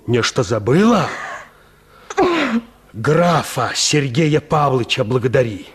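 A man's voice: medium pitch, lively intonation, slow pace, husky. An actor in a radio drama playing a vain, callous peasant huntsman who talks in a boastful, dismissive, folksy way. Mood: proud, scornful. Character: vain, callous peasant huntsman scorning his unloved wife. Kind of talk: Russian radio drama acting.

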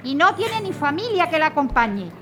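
A woman's voice: sits high, sing-song, normal speed, background noise. A stern, weary mother. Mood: scolding. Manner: scolding, firm. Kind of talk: radio drama acting.

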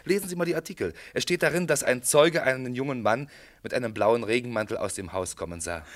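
An actor playing a shrewd, unhurried police inspector in a German radio play, probing in a courteous, matter-of-fact way. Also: male voice, medium pitch, natural voice.

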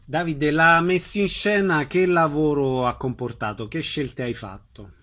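A man's voice: fairly high pitch, measured pace, bright, forceful tone. A man hosting a radio programme, announcing and putting questions to a guest. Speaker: radio host and interviewer.

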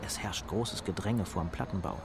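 An actor playing a detached, wry narrator of a radio drama, reading measured scripted narration with street noise and a crowd behind. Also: male voice, low voice, gravelly. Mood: detached, deadpan.

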